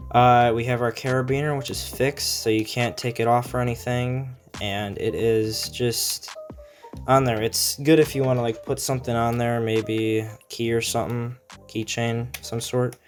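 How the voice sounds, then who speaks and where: A man giving a casual, unscripted product review in a rambling, matter-of-fact way. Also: medium pitch. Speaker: video creator reviewing gadgets.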